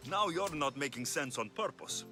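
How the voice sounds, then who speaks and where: An actor playing a blunt, dryly sardonic, impatient Greek mercenary hero in a video game. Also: male voice, fairly high pitch, animated, normal speed. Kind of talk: video game cutscene acting. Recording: music behind the voice.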